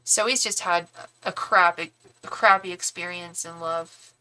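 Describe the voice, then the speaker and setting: A woman's voice: lowish voice, steady tone, unhurried, pushed and bright, poor audio. A young woman doing a casual live-stream tarot reading, chatty and rambling as she talks straight to her viewers. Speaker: tarot reader and psychic.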